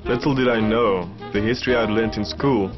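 Low-voiced man narrating a documentary. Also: steady tone. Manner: measured, reflective storytelling. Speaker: filmmaker narrating his documentary. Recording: muffled voice-over under music.

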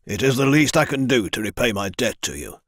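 A man voicing a stern, powerful lord who speaks in a measured, formal way. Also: mid-range voice, sing-song, a bit bright, no room sound, studio quality.

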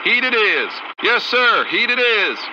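An actor voicing a gung-ho American tank crew commander in a strategy game, terse and brisk, through a military radio filter. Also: male voice, high-pitched, big pitch swings. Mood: brisk, dutiful. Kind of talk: clipped military unit voice lines in a strategy game. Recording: dull radio sound with faint noise.

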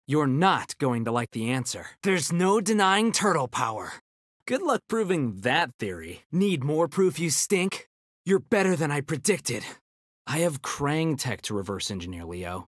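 A man's voice: on the high side, very animated, measured pace, no room sound, clean studio sound. A man voicing a brainy, cocky young ninja turtle in a video game, with an American accent and nerdy, quippy confidence. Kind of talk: video game character battle banter.

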